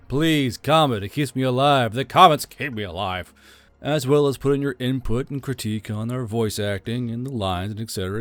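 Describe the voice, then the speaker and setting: A male channel host talks casually in a medium-pitched voice, recorded very dry. He rambles through his plugs in a self-deprecating, profane way. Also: dry recording. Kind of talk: casual outro and membership plug.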